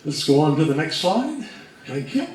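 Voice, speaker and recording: A man co-presenting a slide talk on history in a measured, deliberate way. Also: a little high, very animated. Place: reverberant room, live presentation. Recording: very echoey room, distant mic, faint noise.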